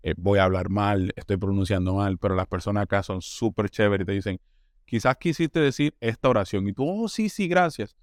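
A man's voice: fairly low pitch, a little fast, no echo, clean studio sound. A Colombian man talking at length in an interview, often restarting words and acting out what others said. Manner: talkative, restarts words, acts out quoted voices. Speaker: interview guest, newcomer. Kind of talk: casual interview.

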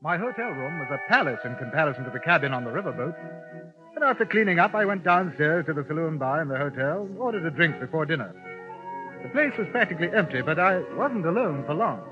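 Lively, high-pitched urbane English gentleman. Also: fast. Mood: composed narration. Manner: courteous, wry, understated. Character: urbane, dryly polite English newspaper correspondent. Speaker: lead actor and narrator. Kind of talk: 1950s American radio western acting. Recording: muffled vintage radio broadcast, music behind.

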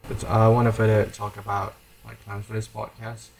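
A young person hosting a podcast in casual chat. They speak hesitantly and often trail off, on a rough home recording. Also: medium pitch, monotone, moderate pace. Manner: hesitant, trails off, lots of likes. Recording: lo-fi home recording with faint background noise.

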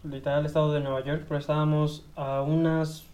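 Low-voiced Mexican woman, crude and joking. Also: flat delivery, brisk, warm tone. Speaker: guest. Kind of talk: casual podcast chat.

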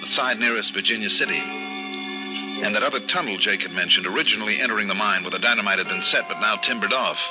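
A man's voice: lowish voice, quick pace, close to an everyday voice. A man playing a shrewd, self-assured investigator in a 1960s radio drama, with a clipped, wry manner. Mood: tense, deliberate. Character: shrewd, self-assured adult male investigator narrating his case. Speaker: lead actor and narrator. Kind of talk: 1960s radio detective drama acting with first-person narration. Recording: dull vintage radio recording with music.

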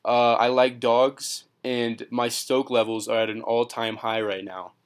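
A man's voice: medium pitch, flat delivery, measured pace, clear, resonant tone. Teen boy guest, dry teasing humor, casual chat. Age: teens.

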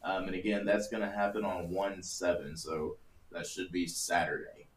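A man's voice: sits low, level tone, normal speed, a little hiss or hum. A young man co-hosting a casual sports-betting podcast, laughing often and poking fun at himself. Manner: laughing, self-deprecating. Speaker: podcast co-host. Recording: roomy echo.

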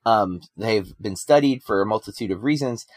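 A man co-hosting a casual film podcast, relaxed and conversational, with frequent ums and you-knows. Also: lowish voice, moderate pace, dry recording, clean studio sound. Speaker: podcast co-host.

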